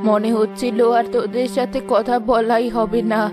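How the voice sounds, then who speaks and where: A woman playing a gentle, principled village mother in a cartoon moral story, speaking softly and earnestly. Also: a little high, flat delivery, moderate pace, music in the background, lightly acted. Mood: tender, weary.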